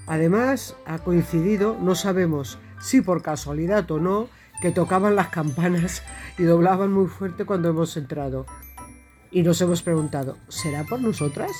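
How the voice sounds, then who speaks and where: A woman's voice: lowish voice, normal speed, a little mellow, faint music behind. Reflective woman on a trail vlog. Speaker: vlogger walking the pilgrimage trail with her cousin. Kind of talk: casual travel vlog narration. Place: outdoors on a walking trail.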